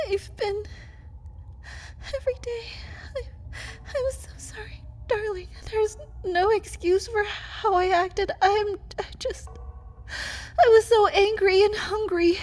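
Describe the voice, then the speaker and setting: A woman playing a feral, hungry vampire wife in an ASMR roleplay, mocking and manipulative in manner. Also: darkish tone. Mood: tearful pleading. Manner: taunting, sardonic, swings from low menace to pleading.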